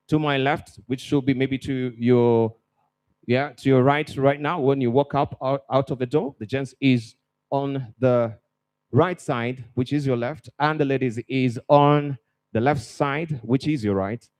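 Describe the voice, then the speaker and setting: A man's voice: medium pitch, darkish tone. A man working as master of ceremonies at a conference, upbeat and chatty as he welcomes guests and gives housekeeping notes. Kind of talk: conference emcee announcements. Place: conference hall.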